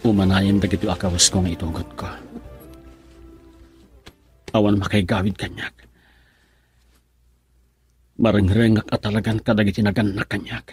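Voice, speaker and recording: An actor playing a defiant, earnest young son and sweetheart in a Filipino radio drama, with a husky voice and Filipino accent. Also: male voice, lowish voice. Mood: bitter, determined. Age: young adult.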